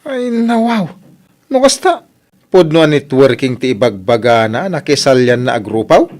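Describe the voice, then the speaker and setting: A man's voice: medium pitch, big pitch swings, moderate pace, a little mellow, close to an everyday voice. A man playing an exclamatory, questioning village husband with a Filipino Ilocano accent in a radio comedy drama.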